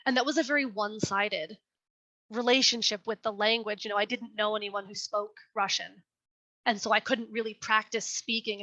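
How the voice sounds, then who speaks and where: A young woman reading her poem slowly and with care, then answering questions in an earnest, chatty way over a video call. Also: mid-range voice, pushed and bright. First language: English. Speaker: poet reading her work. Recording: dull lo-fi video call audio.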